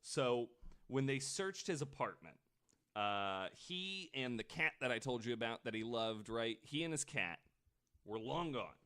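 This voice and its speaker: A man's voice: mid-range voice, expressive, unhurried, a bit bright, smooth. A man podcast host telling a story in a casual, informal way with some swearing. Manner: informal, profane.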